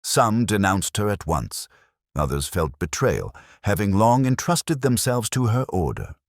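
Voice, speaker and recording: A man narrating a scripted mystery story in a measured, literary and dramatic way. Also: lowish voice, big pitch swings, no room sound, studio quality.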